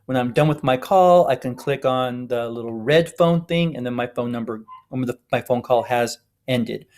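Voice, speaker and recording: A man's voice: medium pitch, normal speed, darkish tone. Man explaining steps in a tutorial. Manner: explanatory, step-by-step. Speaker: instructor. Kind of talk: informal screencast tutorial narration.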